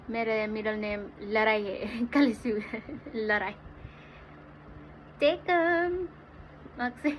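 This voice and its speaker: A woman with a Nepali accent chatting casually and playfully as a livestream host. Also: fairly high pitch. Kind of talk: casual livestream chat, mixing English and Hindi. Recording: dull lo-fi livestream audio, clear background noise.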